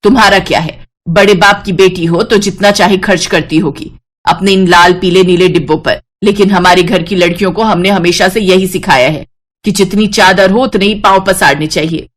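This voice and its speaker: A woman's voice: on the low side, steady tone, moderate pace, close to an everyday voice. A woman voicing a bitter, domineering widowed mother-in-law in a cartoon story, taunting and threatening. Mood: scornful. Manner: taunting, threatening, sharp-tongued. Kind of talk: Hindi animated moral-story voice acting.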